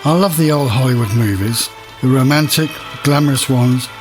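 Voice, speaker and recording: A man playing a proud, wistful cinema manager in an audio monologue, confiding and reflective, with a husky voice. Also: mid-range voice, moderate pace, music in the background, natural voice. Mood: enthusiastic.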